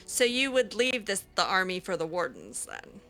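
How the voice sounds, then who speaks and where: A woman voicing her own character over a video game. She talks in a hesitant, rambling way, full of fillers and restarts, with a snarky edge. Her voice is bright and mid-pitched. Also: sing-song, moderate pace, soft background music. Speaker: gaming roleplay host.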